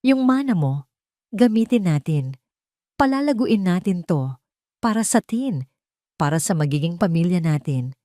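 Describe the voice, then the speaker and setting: Woman playing young husband, Filipino accent, eager, coaxing, full of promises, animated pitch, audio drama. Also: a little slow, long pauses, no room sound, clean studio sound. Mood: eager persuasion.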